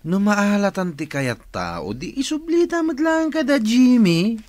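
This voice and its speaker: The elder brother in a family radio drama. He is blunt and emphatic, with a somewhat high voice and animated intonation. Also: a little slow. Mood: grumbling.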